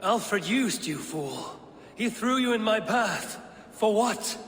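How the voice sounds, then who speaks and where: An actor playing a hardened Viking raid leader, scornful and commanding. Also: male voice, sits high, expressive, slow pace, fairly bright tone, slight background noise, exaggerated voice. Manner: commanding, scornful, barking orders. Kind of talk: game cutscene voice acting.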